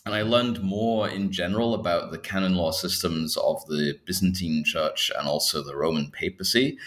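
A man from Scotland in his thirties, a historian speaking as an interview guest, with many pauses and fillers. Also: sits low, a bit bright. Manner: hesitant, frequent fillers. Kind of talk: academic interview.

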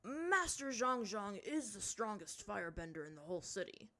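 Woman doing a weary, measured male chief. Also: mid-range voice, sing-song, sharp tone. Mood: matter-of-fact. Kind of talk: dramatised story reading.

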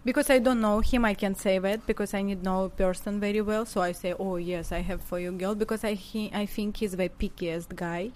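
A woman's voice: medium pitch, fairly even intonation, brisk, hardly any pauses, a little mellow. Russian-accented woman chatting, some background noise. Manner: non-native English phrasing. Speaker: podcast guest. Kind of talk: casual chat.

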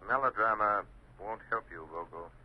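An actor playing a mysterious invisible avenger in a 1930s radio drama, speaking in a deliberate, mocking, menacing way. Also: male voice, on the low side, close to an everyday voice. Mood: cool mocking. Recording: muffled, noisy 1930s radio recording.

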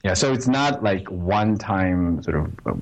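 A man from China, a history professor answering interview questions in a halting way with many fillers. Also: low-pitched, lively intonation, noisy background. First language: Chinese.

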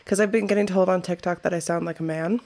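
A woman with a lowish, gravelly voice full of vocal fry co-hosts a casual comedy podcast. She talks candidly and tells stories in an animated way, with crude jokes thrown in. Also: quick pace. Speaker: podcast co-host.

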